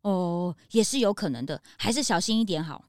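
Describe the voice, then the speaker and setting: A woman voicing an eager, excitable young detective's sidekick, childlike and a little whiny, in a children's story. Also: lowish voice, fairly quick, no room sound, clean studio sound, close to an everyday voice. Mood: hesitant. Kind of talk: children's audio story acting.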